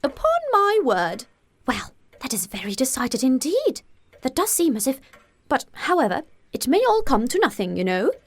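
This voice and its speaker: A woman voicing a fussy, excitable middle-aged mother in an audiobook, gossipy and indignant, with emphatic exclamations. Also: high voice, normal speed, voice pushed up, exaggerated voice. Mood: flustered, gossipy. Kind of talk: audiobook character voice.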